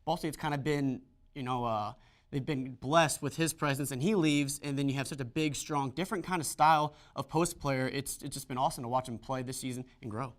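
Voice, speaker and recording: A young man anchoring a student sports show, talking about the team with quick enthusiasm in a TV studio. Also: medium pitch. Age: young adult.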